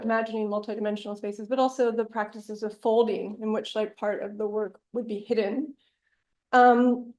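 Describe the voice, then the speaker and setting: Raspy woman lecturing in an echoey room. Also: on the high side, darkish tone. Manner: thoughtful, with many ums. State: hoarse from bronchitis. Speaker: guest lecturer. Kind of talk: academic lecture.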